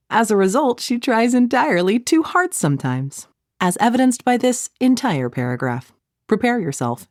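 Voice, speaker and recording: A middle-aged woman reading her own audiobook in a conversational, snarky way, with playful deadpan asides. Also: mid-range voice, no room sound, studio quality. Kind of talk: scripted audiobook narration, conversational.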